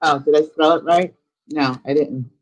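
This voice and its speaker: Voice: mid-range voice, steady tone, moderate pace, lo-fi sound. A live stream host chatting casually and informally, thinking aloud while giving a tour of a virtual world.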